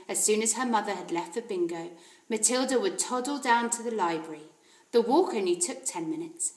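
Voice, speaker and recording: A woman, a teacher reading a children's story aloud in an expressive narrating style. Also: medium pitch. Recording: roomy echo.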